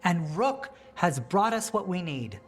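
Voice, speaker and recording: A composed, firm fantasy rebel leader. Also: female voice, lowish voice, sing-song, faint music behind. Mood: firm, reproachful. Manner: measured, firm, earnest. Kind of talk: dramatic video game dialogue acting.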